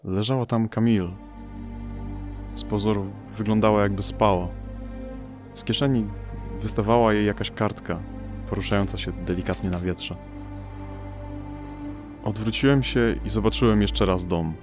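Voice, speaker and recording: A man playing a somber investigator who narrates an audio drama in a measured, observational way. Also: fairly low pitch, steady tone, close to an everyday voice. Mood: quiet reflection. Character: somber male investigator searching a storm-struck family home. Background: music behind the voice.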